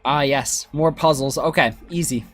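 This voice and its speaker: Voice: medium pitch, normal speed, a bit bright. A game streamer, chatting casually and thinking aloud while playing. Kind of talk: livestream gameplay commentary, casual chat. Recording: game music behind. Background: video game music.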